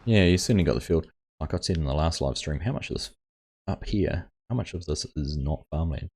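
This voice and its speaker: A man's voice: very deep voice, animated, brisk, a little mellow, husky. A man hosting a gaming livestream, chatting casually and reading viewers' comments aloud. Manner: rambling, reads chat aloud and answers viewers.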